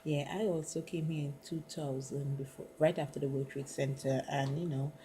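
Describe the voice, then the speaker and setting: Low-voiced woman from the Caribbean, chatty. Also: fairly even intonation, moderate pace, mellow, a little hiss or hum. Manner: chatty, many "you know" fillers. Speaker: student interviewer. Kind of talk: casual conversation.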